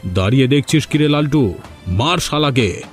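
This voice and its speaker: A man's voice: medium pitch, animated, normal speed, lightly acted. Haughty cartoon village boss played by a man: menacing, domineering bully, music underneath. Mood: threatening. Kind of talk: cartoon voice acting.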